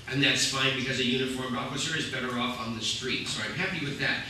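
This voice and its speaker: A man speaking as a city council candidate at a public forum, with a loud, brisk, explanatory delivery. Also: medium pitch. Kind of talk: forum answer to a question. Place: community center meeting room. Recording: echoey room from far off, faint noise.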